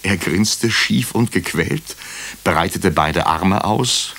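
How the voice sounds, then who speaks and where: An actor playing a wry hospital doctor who narrates a crime case in a radio drama, dry and ironic. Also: male voice, deep voice, animated, slow, gravelly, fairly bright tone, breathy edge, full character voice. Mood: measured narration.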